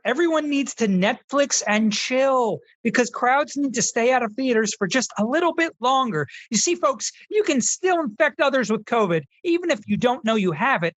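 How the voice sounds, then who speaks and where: Actor as snarky twenty-year-old critic, very animated pitch, dry close sound, know-it-all, comedy radio. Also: normal speed, few pauses, no room sound. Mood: exasperated. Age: 20s. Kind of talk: scripted comedy radio hosting.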